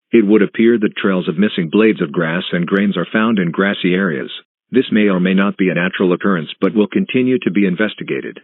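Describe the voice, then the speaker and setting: A man's voice: deep voice, no room sound. Man, emergency alert announcer, scripted, formal, dry sound, tinny band-limited broadcast audio. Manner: formal, impersonal, measured.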